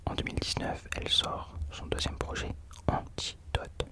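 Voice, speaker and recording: Whispering man hosting casual ASMR. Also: normal speed. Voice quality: unvoiced whisper. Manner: soft, informal, conversational. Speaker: host of an ASMR video channel. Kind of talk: whispered ASMR talk about rap.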